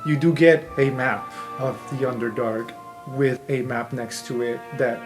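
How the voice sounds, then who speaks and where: A man's voice: mid-range voice, steady tone, a little slow. A man improvising narration as the game master of a tabletop roleplaying game. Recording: roomy, with music under the voice. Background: music.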